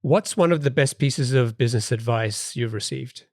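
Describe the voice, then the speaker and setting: Brisk podcast host, dry sound. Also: male voice, medium pitch, darkish tone, no room sound. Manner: friendly, brisk question reader. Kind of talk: podcast interview hosting.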